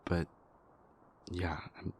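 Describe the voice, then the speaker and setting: A man playing a stressed young vampire prince confiding in his lover in an intimate, halting audio roleplay monologue, with a husky, gravelly voice. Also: slight background noise. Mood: hesitant, worried. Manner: halting, hesitant, exasperated, conversational.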